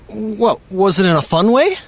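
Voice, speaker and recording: A woman's voice: mid-range voice, lively intonation, normal speed. A woman voicing an easygoing parent in an audio drama, teasing in a wry way.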